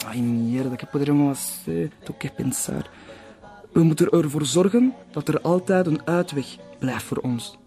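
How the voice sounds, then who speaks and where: An actor playing a wary, scheming conspirator in a crime drama, quick to curse. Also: male voice, on the high side, mellow, soft background music, natural voice. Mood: worried, scheming. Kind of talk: Flemish broadcast crime drama acting.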